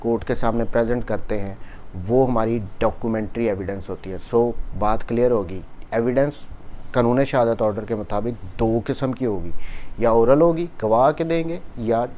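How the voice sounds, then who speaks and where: A man's voice: mid-range voice, moderate pace, warm tone. A man with a Pakistani accent giving an explanatory law lecture in a didactic way, repeating and rephrasing his points. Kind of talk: explanatory lecture, reading English clauses and explaining in Urdu. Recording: noticeable background noise.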